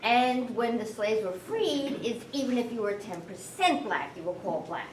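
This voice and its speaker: A woman's voice: fairly high pitch, expressive, moderate pace. A woman speaking bluntly and with strong opinions in a group discussion. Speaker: forum participant. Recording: echoey room, distant mic, faint noise.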